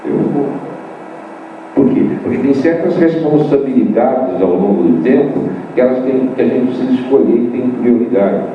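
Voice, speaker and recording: A man's voice: medium pitch, lively intonation, moderate pace. An older male professor answering a student in a debate, emphatic, with repeated points and rhetorical questions. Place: large hall at a university meeting. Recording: distant echoey room mic, dull and noisy.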